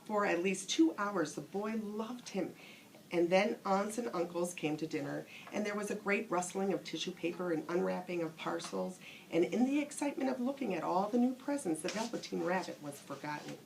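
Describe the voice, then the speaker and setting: Creaky-voiced woman reading a bedtime story. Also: on the low side, normal speed, darkish tone, poor audio. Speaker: storybook reader. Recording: faint background noise, room ambience.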